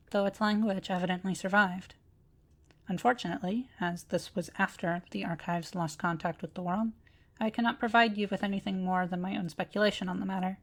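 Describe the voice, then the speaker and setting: A woman playing an ancient, courteous automaton librarian in a scripted audio roleplay, speaking formally with precise, measured diction. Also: medium pitch, natural voice. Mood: precise, helpful. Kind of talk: scripted roleplay audio drama addressed to listener.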